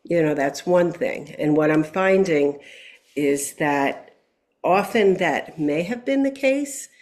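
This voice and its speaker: A woman's voice: low voice, slow. A woman, a health practitioner guest, giving long explanatory answers with frequent fillers over a video call. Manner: explanatory, steady, many fillers. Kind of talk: interview answer.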